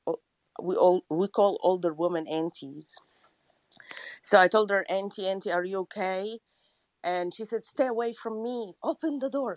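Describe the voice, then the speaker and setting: A woman from Egypt, calling in to share a personal testimony, halting and full of fillers. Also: fairly low pitch, no echo. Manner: halting, many fillers and restarts. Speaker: caller. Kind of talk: personal testimony in a call-in chat.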